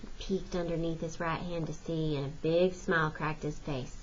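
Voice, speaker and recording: A woman's voice: deep voice, fairly even intonation, normal speed, slight background noise. Woman reading a story aloud, slightly dull recording. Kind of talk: expressive storybook narration, first-person folksy text.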